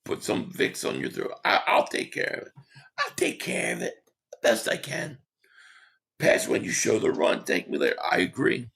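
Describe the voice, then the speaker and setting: A man hosting a sports talk livestream, hoarse and losing his voice, reading chat comments and giving rambling, opinionated takes. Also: mid-range voice, huge pitch swings, normal speed, fairly bright tone. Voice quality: hoarse, gravelly. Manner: rambling, opinionated, repeats himself.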